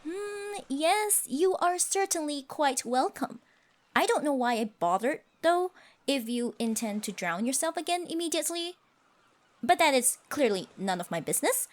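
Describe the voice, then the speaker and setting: Woman playing a haughty high-voiced tsundere siren. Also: animated, moderate pace. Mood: huffy, dismissive. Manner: haughty, scoffing, flustered stammers, archaic formal phrasing. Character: haughty tsundere siren, proud, secretly smitten sea creature. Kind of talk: ASMR roleplay monologue.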